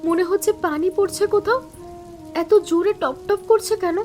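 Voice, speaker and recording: High-voiced anxious young daughter-in-law. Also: female voice, moderate pace, darkish tone, soft background music. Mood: alarmed, complaining. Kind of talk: animated family story acting.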